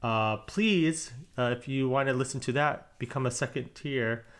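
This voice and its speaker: A man hosting a casual podcast chat, talking fast and laughing easily as he moves the talk along. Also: medium pitch, fairly bright tone. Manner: quick, laughing, leads the topics. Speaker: podcast host.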